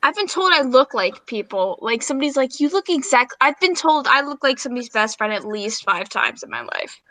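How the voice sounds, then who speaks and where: Female, high-pitched with a forward, bright edge; informal banter, closely dry sound. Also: lively intonation, fairly quick, no echo, poor audio. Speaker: hangout participant. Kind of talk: casual chat with friends. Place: online group video call.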